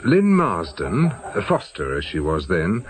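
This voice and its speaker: A man's voice: deep voice, wildly swooping pitch, unhurried, exaggerated voice, poor audio. An actor playing a polite, probing man with an English accent in a radio drama, hesitant but persuasive. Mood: ingratiating. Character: smooth-talking, probing man posing as crime writer. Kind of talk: naturalistic British radio drama acting.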